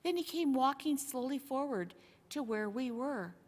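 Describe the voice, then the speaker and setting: A woman's voice: high-pitched, expressive, a little slow, a little mellow. A woman voicing a tender-hearted, earnest young girl telling her adventure in a storybook. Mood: earnest wonder. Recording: long hall-like reverb.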